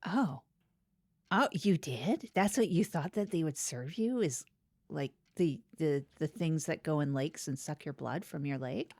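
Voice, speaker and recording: Low-pitched woman hosting a comedy podcast. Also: expressive, measured pace, darkish tone, no echo. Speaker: podcast host. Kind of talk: improvised comedy podcast banter.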